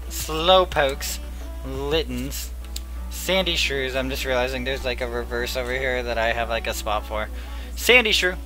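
Bright-voiced young man opening card packs. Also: a little high. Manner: chatty, murmurs card names, bursts into excitement. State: losing his voice. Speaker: card pack opener. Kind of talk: casual pack-opening commentary. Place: small room at a table. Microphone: headset.

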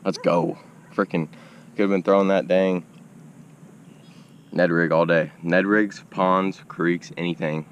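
Young fishing vlogger, deep-voiced, excitable; self-filmed outdoors, faint background noise. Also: male voice, unhurried, pauses often, muffled recording. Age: young adult. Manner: excitable, slangy, addresses viewers as y'all. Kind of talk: casual self-filmed narration. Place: outdoors beside a suburban pond. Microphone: action camera on chest and at arm's length.

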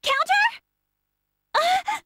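A woman's voice: very high-pitched, animated, very slow, sharp tone, no echo, studio quality, exaggerated voice. A woman playing an earnest, determined young female soldier in a fighting game, giving short, emphatic battle calls. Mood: fierce, urgent.

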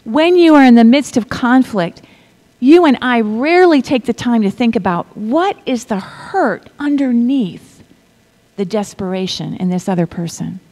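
A middle-aged woman preaching a sermon, reading scripture in a measured way and dropping to a whisper for effect. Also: a little high, animated. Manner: slow, deliberate delivery with pauses and soft whispered stretches; asks the congregation to repeat lines.

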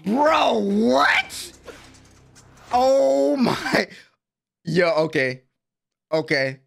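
A man's voice: very high-pitched, expressive, extremely slow, gravelly, a bit bright. A young man giving casual, slangy, exclamatory reaction commentary, recorded close on a desk microphone in a small bedroom. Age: young adult. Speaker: anime reaction host. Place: small bedroom streaming setup. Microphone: desk microphone close in front.

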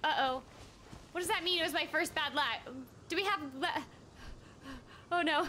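An excitable, giggly young woman chatting casually on a live game stream, often repeating her phrases, close on a desk microphone. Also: extremely high pitch, moderate pace, slight background noise. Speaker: streamer and voice actress. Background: game music.

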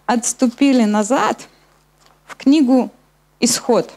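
A woman's voice: a little high, takes it slowly, a little mellow. A middle-aged woman preaching a sermon in an explanatory way, addressing her listeners, speaking into a handheld microphone on a church stage. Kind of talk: sermon with scripture reading. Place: church hall stage at a lectern, indoors.

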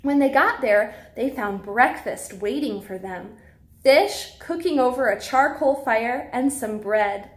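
Animated, high-voiced young American woman. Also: takes it slowly. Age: young adult. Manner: animated, simple and clearly phrased for children. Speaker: preschool teacher. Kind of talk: read-aloud and talk for young children. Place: small classroom, indoors.

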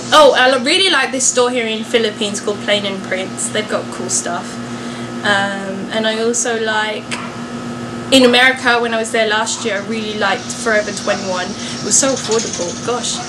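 A woman's voice: fairly high pitch, noisy background. A young adult woman, chatting casually and jokingly to viewers in a vlog. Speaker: vlogger and singer.

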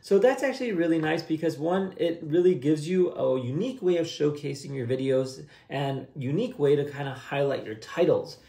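A man's voice: a little high, normal speed, vocal fry, few pauses, mellow. A young adult man giving a casual, upbeat, conversational screen-share tutorial. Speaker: host of a software tutorial channel. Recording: roomy, echoey indoor sound.

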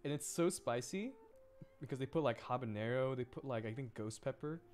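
A man's voice: medium pitch, a little fast, darkish tone. A young man streaming a video game, chatting casually and rambling, often repeating words as he thinks aloud.

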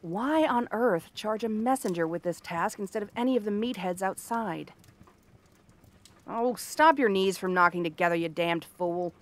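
Casting: female actor as cursed princess turned frog girl, cranky and theatrical, sharp-tongued but secretly kind, ASMR fantasy roleplay. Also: medium pitch, normal speed, lightly acted. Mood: exasperated, softening. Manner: haughty, sardonic, theatrical tsundere delivery.

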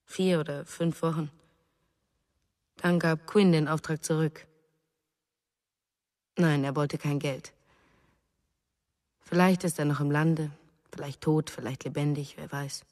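A woman's voice: low voice, fairly even intonation, slow pace, pauses often, darkish tone, big-room sound. An actress playing a grieving young mother in a German radio drama, restrained and pensive, in short phrases.

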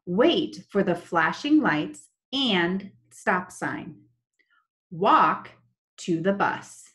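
A young woman teaching children in an online lesson, talking slowly and in a sing-song way over a laptop webcam. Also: lowish voice, expressive, fairly bright tone. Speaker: special education teacher. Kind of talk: online lesson with screen share. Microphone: laptop webcam, video call.

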